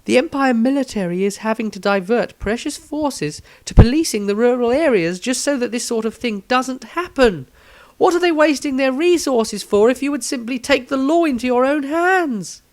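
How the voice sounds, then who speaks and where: A man's voice: very high voice, animated, moderate pace, pitched above the performer's own voice. A man voicing an earnest, educated female fantasy adventurer who lectures formally in a storybook. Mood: reproachful. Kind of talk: storybook character acting.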